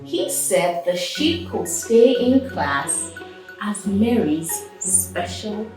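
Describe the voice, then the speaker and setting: A woman reading a story aloud to children as host, expressive and enthusiastic, with chatty asides and drawn-out emphasis. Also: mid-range voice. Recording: very echoey room, distant mic, music behind.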